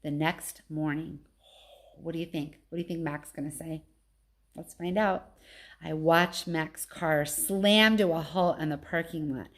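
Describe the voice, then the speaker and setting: A woman's voice: sits low, steady tone, unhurried. Woman reading a storybook aloud expressively.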